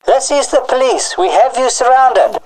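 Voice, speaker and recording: A woman's voice: high voice, moderate pace, darkish tone, close to an everyday voice. A woman playing a stern police squad leader declaiming orders in a very noisy amateur radio drama recording. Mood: stern, commanding.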